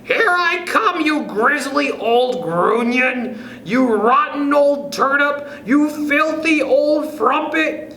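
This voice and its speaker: A man voicing an ugly, beastly old woman who shouts furious insults in a children's storybook reading. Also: very high voice, animated, takes it slowly, hardly any pauses, pitched above the performer's own voice, exaggerated voice. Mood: furious shrieking. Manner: shouting at the top of the voice. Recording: echoey room with background noise.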